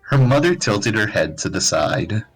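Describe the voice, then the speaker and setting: A man's voice: on the low side, big pitch swings, a little slow, soft background music, rough recording. A man narrating fan fiction as a live dramatized comedic reading.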